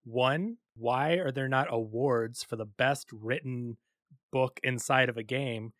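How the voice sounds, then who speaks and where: A man co-hosting a casual gaming podcast, talking fast and animated with many fillers. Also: mid-range voice, no echo, clean studio sound. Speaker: podcast co-host. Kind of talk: casual chat.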